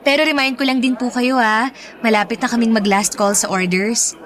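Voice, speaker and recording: An actress playing a polite, patient Filipino restaurant waitress in a radio drama, deferential in manner. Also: female voice, fairly high pitch, steady tone, normal speed, a bit bright, natural voice.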